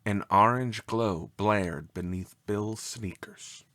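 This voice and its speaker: A man reading a scripted story as narrator, with an expressive, measured and dramatic delivery. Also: lowish voice, dry recording, studio quality.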